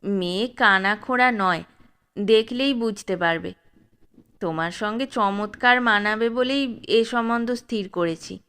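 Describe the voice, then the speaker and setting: A woman's voice: mid-range voice, a little slow, fairly bright tone, voice pushed down. A woman voicing a harsh, commanding old male dacoit chief in a spoken storybook. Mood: insistent. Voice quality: harsh, gruff. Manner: commanding, threatening.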